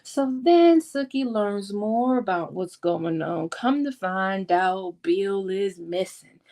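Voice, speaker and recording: A woman talks at an unhurried pace in a medium-pitched voice. She is playful and sassy, full of slang, recapping a story casually as a book vlogger and slipping into characters' lines as she goes. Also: lively intonation, warm tone. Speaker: book vlogger hosting a readalong discussion.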